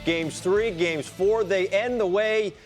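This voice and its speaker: A man hosting a studio sports show, giving lively commentary on a game. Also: high-pitched, takes it slowly, soft background music.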